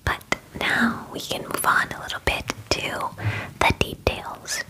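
A woman in her twenties whispers softly and gently into a close microphone, in a soothing ASMR personal-attention roleplay as a spa groomer. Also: rough recording. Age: 20s. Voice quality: soft, breathy whisper. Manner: gentle, slow, soothing murmuring. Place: small dim room, indoors.

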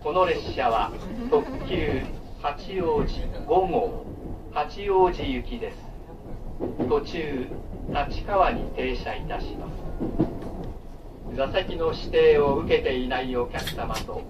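A man reading a formal, polite scripted onboard announcement as a train announcer. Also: slow pace. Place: recorded inside a moving train. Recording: muffled distant train speaker, loud rumble. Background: loud train noise.

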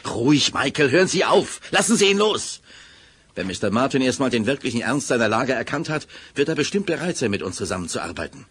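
A stern, gentlemanly man in charge in an eighties German radio play. His mid-pitched voice is formal and authoritative, with very lively intonation. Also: fairly quick, slight background noise. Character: stern, gentlemanly male boss, patient until indignant. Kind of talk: 1980s German radio drama acting.

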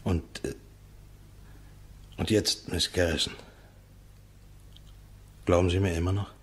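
Doomed prisoner, subdued radio drama, really husky low voice, halting. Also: male voice, fairly even intonation, full character voice. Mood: resigned, subdued. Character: resigned condemned prisoner protesting his innocence, grateful. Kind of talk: German radio drama acting.

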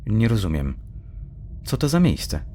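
A man's voice: fairly low pitch, wildly swooping pitch, measured pace, warm tone, slight background noise, pitched above the performer's own voice. Man reading as an uneasy, bewildered young girl. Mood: confused. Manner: hesitant, questioning. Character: uneasy, bewildered teenage girl arriving at strange school.

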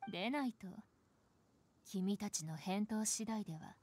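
A woman's voice: mid-range voice, unhurried, a little mellow, husky. A woman playing a cool, sardonic young nun in an anime game, curt and dryly cutting. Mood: coolly sardonic. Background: game background music.

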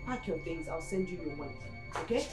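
A woman's voice: deep voice, lively intonation, a little fast. A woman playing a bossy wealthy madam who accuses and fires her cook. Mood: accusing. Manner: cold, accusing, commanding. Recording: echoey room with clear music.

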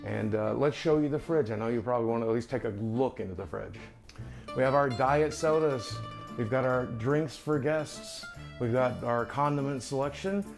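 A middle-aged man speaks casually to camera with music playing behind him. His voice sits at an ordinary male pitch. He comes across as candid and self-deprecating, like a video creator walking viewers through his home. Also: moderate pace, vocal fry. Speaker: online video creator giving a house tour. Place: rooms of a house, indoors. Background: background music.

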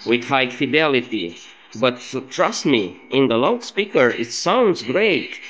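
Slow, halting man demonstrating electronics. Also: mid-range voice, very animated, fairly bright tone, highs cut off. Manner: halting, searching for words. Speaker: electronics hobbyist presenter. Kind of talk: casual improvised demonstration of a homemade radio. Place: indoors at a hobby workbench. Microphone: phone held over the workbench.

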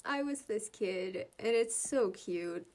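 A woman's voice: mid-range voice, animated, takes it slowly, darkish tone, slight background noise. A young woman casually narrating and commenting on fan comics in a gushing, giggly, informal way.

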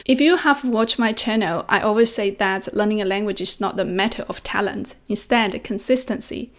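A woman's voice: medium pitch, normal speed. A young woman from China, a native Chinese speaker, giving instructional advice to camera on a close desk microphone. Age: young adult. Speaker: language-learning video host. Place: quiet room at home. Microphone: close desk microphone with pop filter.

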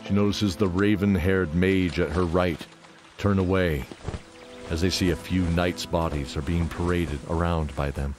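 Deep-voiced male storyteller, measured. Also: steady tone. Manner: measured, descriptive. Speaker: narrator of an audio drama. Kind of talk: scripted fantasy story narration. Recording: music under the voice.

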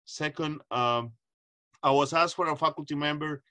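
Bright-voiced man from Costa Rica. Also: a little high, moderate pace. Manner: thoughtful, many "uh" fillers, earnest. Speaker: panelist, agricultural economist. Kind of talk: casual panel answer. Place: home, video call with virtual background. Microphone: webcam or laptop mic on video call.

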